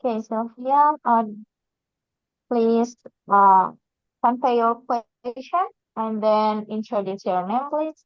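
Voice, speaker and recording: Slow, polite woman moderator. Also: a little high, clean tone, no room sound. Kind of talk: moderating a question session. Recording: dull lo-fi dry video call audio.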